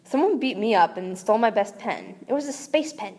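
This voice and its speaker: A woman's voice: a little high, lively intonation, a little fast, darkish tone. A woman voicing a blunt, eager young girl wizard while reading a book aloud, close to her own voice.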